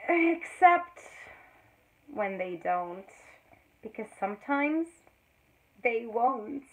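A woman in her thirties, a teacher, reading a children's picture book aloud with dramatic swings between hushed lines and loud exclamations, recorded indoors on a close camera mic. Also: on the high side, big pitch swings, extremely slow, slight background noise. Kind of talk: expressive read-aloud storytelling for children. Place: small indoor room before a green backdrop. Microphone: built-in camera mic at close range. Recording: tinny phone-like audio.

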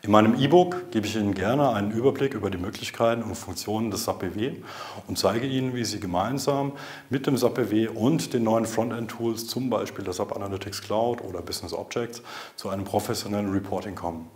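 Guy in his 30s, lowish voice, doing a formal measured business explainer video. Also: a little mellow. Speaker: IT consultant presenting an explainer. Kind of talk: scripted corporate explainer to camera. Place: indoor video studio. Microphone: lapel mic.

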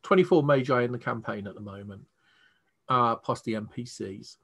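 A man's voice: medium pitch, normal speed, creaky, fairly bright tone, husky. A man with an English accent, casually explaining a tabletop roleplaying game as its game master.

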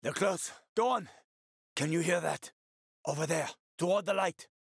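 A man's voice: a little high, moderate pace, creaky, husky, dry recording, clean studio sound. A male actor voicing a wry, streetwise survivor guide and ex-soldier in a video game, with colloquial, foul-mouthed talk. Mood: wry, guiding. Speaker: video game companion character.

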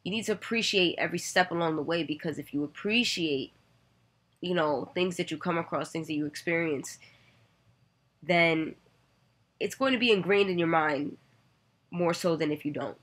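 Woman in her thirties, low voice, earnest and reflective vlogger, webcam in a bedroom. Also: normal speed, long pauses, a bit bright, breathy edge. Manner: earnest, reflective, with many "you know" fillers. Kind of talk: personal storytelling to camera. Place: bedroom, indoors. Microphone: webcam at desk distance.

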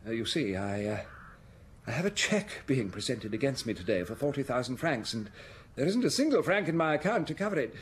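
An actor in a radio drama playing a profligate aristocratic count, hesitant, rueful and self-absorbed. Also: male voice, medium pitch, very animated, fairly quick, lightly acted. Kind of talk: BBC radio drama acting.

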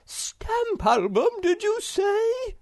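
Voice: sits high, very animated, measured pace, vocal fry, darkish tone, no room sound, studio quality, pitched above the performer's own voice, full character voice. A reader voicing the Hemulan, a rude, cross creature who screeches when upset. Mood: outraged. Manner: screeching, outraged. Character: excitable shrill male Hemulan, rude and cross.

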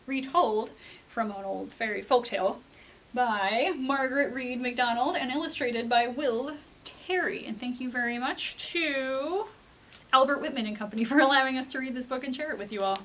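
A young woman storyteller giving an expressive, deliberate, emphatic dramatic read-aloud for children. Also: a little high. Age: young adult. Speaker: library storyteller. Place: indoor library room with bookshelves.

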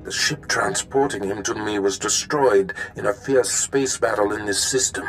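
A cold and imperious Sith master for a video game. His low voice is formal and measured, full of menace toward underlings, over prominent music. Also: fairly bright tone. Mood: grave, musing.

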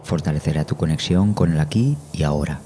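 A man narrating a guided meditation in Spanish as the guide, slowly and soothingly, with measured phrases and long pauses. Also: extremely low pitch, warm tone. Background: meditation music.

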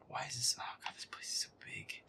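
A man giving irritable, foul-mouthed gameplay commentary in a breathy, often whispered mutter. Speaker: gamer playing a horror game in character.

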